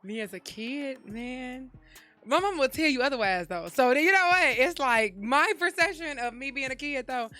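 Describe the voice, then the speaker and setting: A woman, a podcast guest, talking fast and chattily in a casual interview. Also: sits high, animated, bright, forceful tone, dry recording, faint music behind. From Chicago south suburbs.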